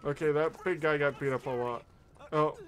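A man's voice: a little high, steady tone, normal speed. A man hosting a reaction stream, giving casual, exclamatory commentary as he watches.

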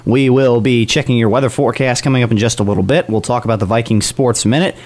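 A man's voice: mid-range voice, steady tone, quick pace, darkish tone. A man hosting a college radio morning show in upbeat, chatty DJ patter with emphatic openings.

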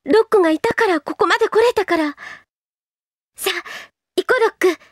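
An actress playing a devoted, gentle teenage girl adventurer in an anime-style video game, sincere and tender. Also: very high-pitched, moderate pace, no room sound, clean studio sound. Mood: tender, encouraging.

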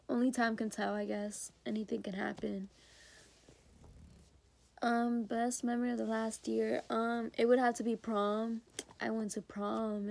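A teenage girl records a school video diary in her bedroom, holding the phone at arm's length. Her voice is slightly high but hoarse from being sick, and she talks softly and hesitantly, full of ums and pauses. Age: teens. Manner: hesitant, many ums, soft and low-key. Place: bedroom indoors. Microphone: phone or laptop camera at arm's length.

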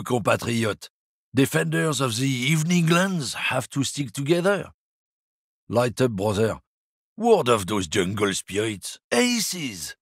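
An actor playing a boastful, crude, bigoted middle-aged lorry driver in a video game, recorded on a dry studio mic. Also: male voice, medium pitch, vocal fry, breathy edge, no room sound, full character voice. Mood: swaggering.

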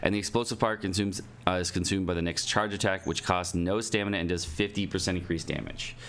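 A man's voice: low voice, steady tone, fast, fairly bright tone. A young adult man giving casual gaming news commentary as a streamer, informal and slangy in manner. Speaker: game streamer. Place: indoor home streaming setup.

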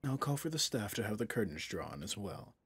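Man acting a husky, breathy villain prince. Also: lowish voice, big pitch swings, no echo, heavily acted. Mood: coolly genteel. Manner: soft, measured, formal courtly phrasing. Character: possessive villain prince, smoothly manipulative and menacing. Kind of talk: roleplay ASMR audio drama.

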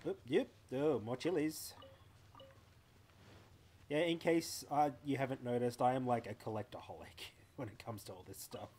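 A man's voice: medium pitch, moderate pace, a little mellow, a little hiss or hum. A young Australian man chatting playfully to himself while streaming a game live from home. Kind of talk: live gameplay commentary.

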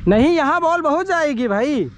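A man with a very high, bouncy voice shouts encouragement and instructions across an outdoor cricket ground. The field recording is noisy, with traffic and ambience clearly in the background. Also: moderate pace. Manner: excitable, calls out instructions to teammates. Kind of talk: shouted on-field calls and banter.

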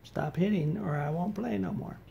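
Man putting on a high, exasperated puppet cop. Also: moderate pace, creaky, warm tone, lo-fi sound. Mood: scolding, teasing. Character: exasperated male police officer puppet named Bob. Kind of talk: improvised comic puppet show with a child. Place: living room with high ceiling. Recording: noisy home phone recording with clicks.

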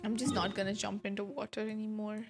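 A woman's voice: mid-range voice, little pitch movement, fast. A woman in her twenties chatting casually and reacting as a livestreamer to a game. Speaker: game streamer. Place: small indoor streaming room. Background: game music and dialogue.

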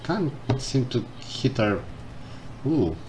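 A man commenting casually on a game as he plays, reading the game text aloud and thinking out loud. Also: medium pitch, very animated, slow, poor audio. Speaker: gameplay streamer. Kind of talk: casual let's-play commentary. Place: indoors at a computer. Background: game music.

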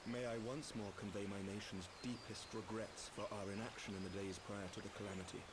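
A man's voice: fairly low pitch, flat delivery, a little fast, very noisy, close to an everyday voice. A man playing an earnest, courtly knight lord in a fantasy game, with formal, archaic, dignified speech. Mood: contrite. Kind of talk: scripted fantasy game acting. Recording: birdsong and outdoor ambience behind.

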